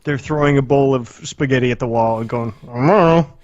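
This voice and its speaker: A man's voice: mid-range voice, fairly quick, no room sound, lo-fi sound. A man co-hosting a podcast with casual, profane banter, sarcastic and given to joking exaggeration. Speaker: podcast co-host.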